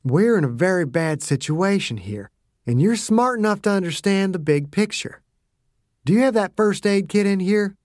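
A man voicing a hardened male agent who gives orders in a calm, quiet, flat voice, in an audiobook. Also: high voice, normal speed, dry recording, studio quality, voice pushed up.

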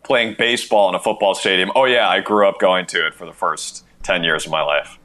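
Low, bright-voiced man joking on sports radio. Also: brisk. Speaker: fill-in co-host.

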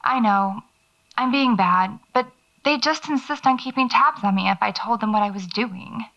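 Pensive, wry female horror writer, reflective diary monologue, gravelly fry, dulled cassette sound. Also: a little high, moderate pace, a bit bright, lo-fi sound. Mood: wry, casual. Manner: musing, reflective. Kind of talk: audio drama monologue.

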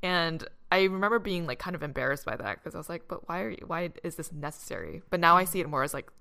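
A woman in casual conversation, sharing childhood memories in a lively, animated way. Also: fast talker, vocal fry. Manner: animated storyteller, acts out her childhood self in a high voice. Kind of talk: casual chat.

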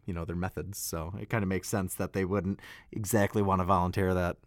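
Man, low pitch, close dry sound, casual, halting delivery. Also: flat delivery, no room sound. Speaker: podcast discussion participant. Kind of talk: casual chat.

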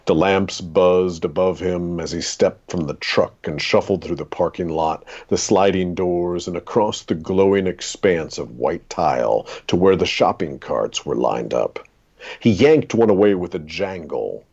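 A man with a gravelly, husky voice reading a literary short story aloud in a dramatic, deliberate way. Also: extremely low pitch, a little mellow, muffled recording.

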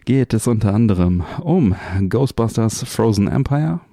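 A man's voice: mid-range voice, expressive, measured pace, gravelly, warm tone, no room sound, studio quality. A man hosting a podcast in a casual, chatty style. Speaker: podcast host. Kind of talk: casual chat.

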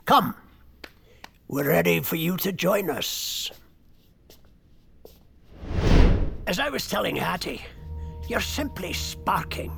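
A man's voice: sits high, big pitch swings, measured pace, creaky, music in the background, full character voice. An actor playing an aging, worldly wizard in an audio drama, sly and condescending, savoring his phrases with arch chuckles. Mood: smug, amused.

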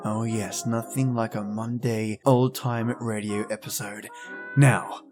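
A man's voice: on the low side, little pitch movement, takes it slowly, a little mellow. A man hosting a podcast, giving a scripted introduction addressed to his listeners. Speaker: podcast host. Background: music.

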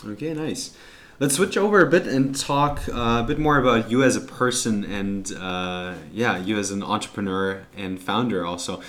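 A man's voice: on the low side, moderate pace, a bit bright. A man interviewing a guest, asking questions with hesitations and fillers.

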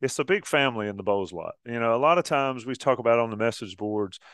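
A man's voice: mid-range voice, lively intonation, quick pace, a bit bright, clear, resonant tone, dry recording, studio quality. A man co-hosting a college football pregame show, chatting easily and laughing often. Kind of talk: casual promo chat.

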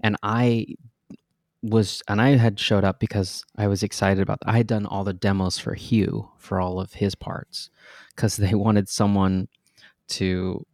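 A man with a low voice and a gravelly edge tells a backstage story in a relaxed interview. He rambles and restarts as he goes, and laughs at himself. The sound is close and dry. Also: a little slow, dry recording. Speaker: guest actor.

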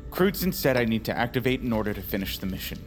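A man's voice: medium pitch, sing-song, fast talker, music in the background, natural voice. A man playing an earnest, determined hero on a rescue mission in an audio drama, plainspoken and a little awkward. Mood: determined, uneasy. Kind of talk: audio fiction acting.

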